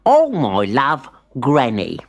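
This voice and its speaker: A woman voicing a sly, affectionate old granny in a storybook, with deliberate, cackling delivery. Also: very deep voice, huge pitch swings, noisy background, exaggerated voice. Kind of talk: storybook character voice.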